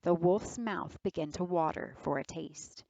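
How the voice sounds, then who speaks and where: A woman storyteller reading aloud to children in a deliberate, dramatic narrating style. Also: on the low side, dark tone, dry recording, dull audio.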